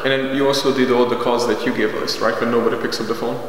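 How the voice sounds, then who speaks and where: Fast-talking man in an echoey room. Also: mid-range voice, little pitch movement. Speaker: company founder and vlog host leading staff reviews. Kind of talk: casual workplace meeting talk. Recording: echoey room from far off, faint noise.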